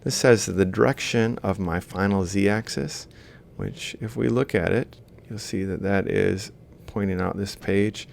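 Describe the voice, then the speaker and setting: A man's voice: lowish voice, unhurried, vocal fry, few pauses, a little mellow, slight background noise. A man lecturing informally, explaining a worked example step by step as he writes. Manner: explains step by step while writing. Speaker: lecturer in robotics.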